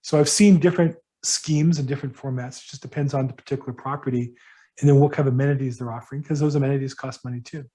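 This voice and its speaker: A middle-aged man, a real estate broker, answering questions at a workshop in a casual, halting way with many ums and restarts. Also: mid-range voice, steady tone, mellow, poor audio. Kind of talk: casual advice to attendees.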